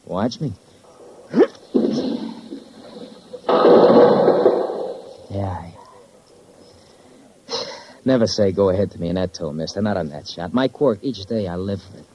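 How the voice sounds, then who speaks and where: Bitter, husky American tough guy. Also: low voice, expressive, moderate pace, long pauses, dark tone. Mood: flippant, cocky. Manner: clipped, sarcastic, slangy wisecracks. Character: bitter, sardonic young man, failed lawyer, tough talker. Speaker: actor playing a murder suspect. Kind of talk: 1950s American radio crime drama acting. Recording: muffled vintage 1950s radio recording.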